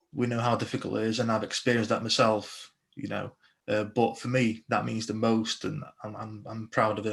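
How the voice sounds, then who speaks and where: Police constable, young man, low flat delivery, earnest, halting restarts, video call audio. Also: vocal fry. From Slovakia. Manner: earnest, many restarts and fillers.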